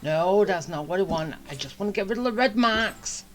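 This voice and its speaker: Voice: medium pitch, animated, brisk, fairly bright tone, faint music behind. A video game streamer giving casual commentary, muttering and thinking aloud in a rambling way. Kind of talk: casual gameplay commentary, talking to self.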